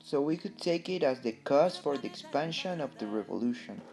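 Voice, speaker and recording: Mellow guy w a reading history narration, guitar music behind him. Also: medium pitch, animated, normal speed, vocal fry. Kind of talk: scripted narration of a student-style history newsreel. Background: guitar music under the voice.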